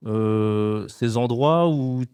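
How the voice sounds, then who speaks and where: Interviewer, man, smooth resonant voice, halting questions, dry close sound. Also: medium pitch, darkish tone, no room sound. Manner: hesitant, many filler pauses. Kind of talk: festival interview.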